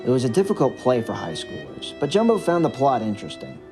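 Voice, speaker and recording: A man tells a scripted story in the manner of an audio drama narrator. His delivery is expressive and dramatic, with lively swings in pitch around a middling male register. Instrumental music with strings plays clearly behind his voice. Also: fast talker.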